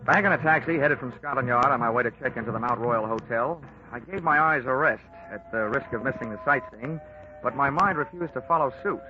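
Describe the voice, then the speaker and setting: An actor playing a dry, wisecracking American investigator who also narrates, in a 1940s radio drama. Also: male voice, medium pitch, brisk, loud music behind the voice, lightly acted. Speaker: narrator and lead. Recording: noisy lo-fi old radio recording.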